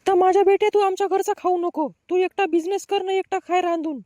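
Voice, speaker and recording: A man voicing an angry, sharp-tongued village woman with a rural Marathi accent in a comic cartoon, scolding. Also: very high voice, steady tone, fairly quick, dry recording, clean studio sound. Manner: scolding, cursing.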